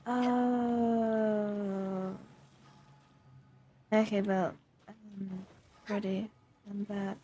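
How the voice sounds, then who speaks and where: A woman's voice: mid-range voice, flat delivery, very slow, pauses often, dark tone, a little hiss or hum. A young woman commenting casually on her gameplay, thinking aloud in a hesitant way with many fillers and trailing phrases. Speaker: game streamer.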